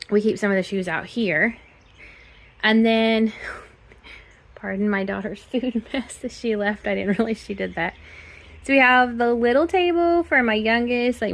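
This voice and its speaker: A middle-aged woman with a raspy, hoarse voice from a cold, chatting casually with lots of ums while showing her house and porch. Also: medium pitch, fairly bright tone. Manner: chatty, rambling, frequent ums. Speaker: home vlogger. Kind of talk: casual home tour chat.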